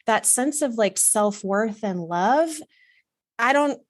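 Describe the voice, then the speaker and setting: A woman's voice: on the high side, lively intonation, takes it slowly, dry recording, studio quality. A middle-aged woman from Texas, a guest telling personal stories in an earnest, reflective, casual way. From Texas, USA. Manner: reflective and earnest, with some fillers. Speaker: guest, wardrobe consultant. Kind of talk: casual conversational storytelling.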